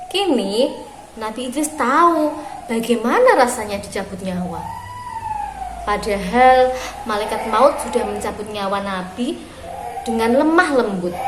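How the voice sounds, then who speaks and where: A woman reading a story aloud for young children, phrasing expressively and deliberately with pauses. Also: a little high. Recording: roomy echo with clear background noise.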